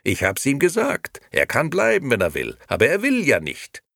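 A man's voice: lowish voice, lively intonation, fairly quick, fairly bright tone, dry recording, clean studio sound, voice pushed up. A man voicing a nervous, irritable, ambitious male innkeeper who justifies himself defensively, in an audiobook. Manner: agitated, defensive, self-justifying. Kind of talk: audiobook character voice.

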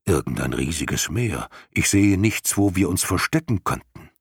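A man's voice: sits low, lively intonation, moderate pace, gravelly, husky, dry recording, studio quality, heavily acted. A man reading an audiobook, voicing a big, timid male dragon who worries and warns. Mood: worried. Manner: worried, cautionary. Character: large male luck dragon, timid and excitable. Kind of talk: audiobook character voice.

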